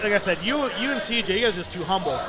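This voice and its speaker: A man's voice: sits high, lively intonation, very fast. A man in his forties giving casual live sports commentary. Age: 40s.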